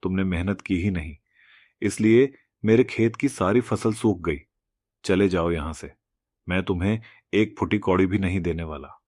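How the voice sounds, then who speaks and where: Cartoon villain: stingy, stubborn rich landowner, played by a man, low-pitched, harsh, bossy, close dry sound. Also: normal speed, a little mellow, dry recording. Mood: angry, dismissive. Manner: harsh, scolding, domineering. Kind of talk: Hindi moral cartoon story acting.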